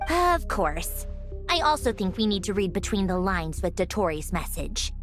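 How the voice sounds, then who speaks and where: A woman playing a sharp, blunt, analytical young woman in a fantasy video game. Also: mid-range voice, moderate pace, faint music behind, lightly acted. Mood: reasoning. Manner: animated, blunt, sardonic.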